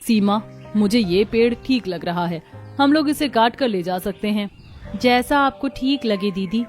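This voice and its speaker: A woman's voice: medium pitch, lively intonation, unhurried, darkish tone, soft background music, natural voice. A woman voicing village women of a poor household in a cartoon story. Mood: worried. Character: village women of a poor family, daughters-in-law and mother-in-law.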